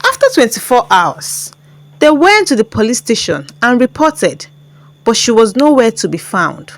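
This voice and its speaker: A woman's voice: a little high, sing-song, moderate pace. A woman with a Nigerian accent telling a story in a dramatic scripted narration.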